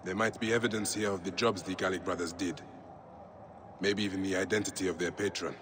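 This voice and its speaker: A grave, stoic warrior from a video game , voiced by a man. His voice is on the low side, and he speaks in terse, measured phrases over clear ambient noise. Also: fairly even intonation, lightly acted. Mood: serious, probing. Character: stoic, serious male warrior and protector. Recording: noisy ambient background.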